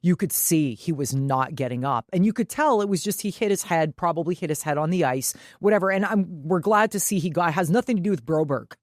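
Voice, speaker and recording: A woman's voice: fairly low pitch, expressive, a little fast, hardly any pauses, no echo, clean studio sound. A woman co-hosting a casual sports talk show, retelling stories conversationally by acting out who said what. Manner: conversational, quotes herself and others. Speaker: sports podcast co-host. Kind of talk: casual chat, animated retelling.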